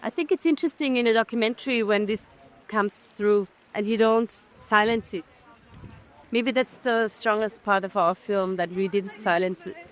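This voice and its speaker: A middle-aged woman from Austria, a documentary filmmaker answering festival interview questions in a thoughtful way, pausing and restarting as she looks for words. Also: medium pitch.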